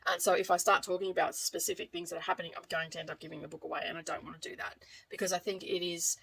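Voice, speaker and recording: An Australian woman gives a casual book review in a fairly low voice. She speaks informally and rambles a little, circling back to correct herself. It sounds like a vlogger chatting to her viewers. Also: flat delivery, rapid-fire, creaky, a bit bright, husky, rough recording.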